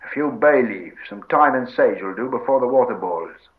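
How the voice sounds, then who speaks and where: A man voicing a gruff, practical, stubborn hobbit servant who talks in homely rustic phrases in a storybook reading. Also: medium pitch, lively intonation, moderate pace, narrow, tinny audio, lightly acted. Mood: practical, muttering.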